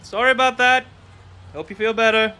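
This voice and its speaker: A man playing a brash, excitable fighter with a casual, blurting manner in a fantasy tabletop radio drama. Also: very high-pitched, normal speed, slight background noise. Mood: sheepish.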